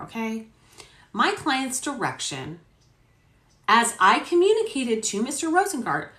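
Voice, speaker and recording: Indignant attorney, acted by a woman, formal dramatic-reading delivery, very animated pitch, roomy sound. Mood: formal, insistent. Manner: formal, emphatic, self-righteous. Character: indignant, emphatic male defense attorney arguing in court. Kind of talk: dramatic reading of court argument. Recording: roomy echo.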